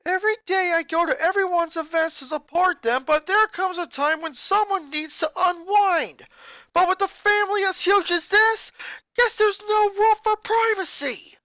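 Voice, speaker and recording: A man's voice: very high-pitched, normal speed, no echo, heavily acted. A man voicing an angry eleven-year-old boy who rants and accuses his sisters in a fanfic reading. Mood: furious. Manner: ranting, accusing, voice rising to shouts.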